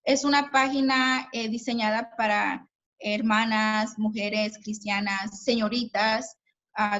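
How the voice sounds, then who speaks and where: A woman in her forties speaks in a slightly high voice, warm and talkative, with an easy laugh. Her voice comes through a muffled, lo-fi video call. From Mexico. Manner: warm, chatty, laughs easily. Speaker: invited speaker. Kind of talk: casual virtual interview. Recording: dull lo-fi video call audio.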